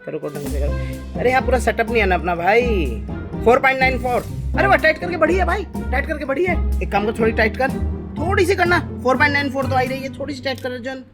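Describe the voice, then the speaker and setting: A man's voice: very high-pitched, lively intonation, quick pace. Informal Indian man vlogging over music. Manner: informal, coaxing, thinks aloud. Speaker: father hosting a family DIY electronics vlog. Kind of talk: casual vlog chat and hands-on demonstration.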